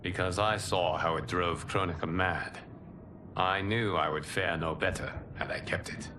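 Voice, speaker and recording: An actor playing a wise, humble and grave protector in a video game cutscene, measured and respectfully formal. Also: male voice, low voice, fairly even intonation, background noise, close to an everyday voice. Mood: humble, earnest.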